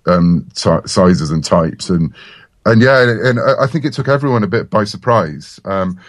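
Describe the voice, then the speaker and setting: A man's voice: sits low, expressive, moderate pace, no echo, studio quality. A man chatting casually as an interview guest, with a wry, self-deprecating manner. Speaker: interview guest, comics and audio writer.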